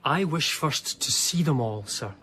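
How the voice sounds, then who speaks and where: An actor playing an eager, reverent young gentleman diarist in a radio drama, speaking formally and effusively. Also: on the high side, lively intonation, unhurried, breathy edge, exaggerated voice. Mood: deferential. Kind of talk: radio drama dialogue and diary narration.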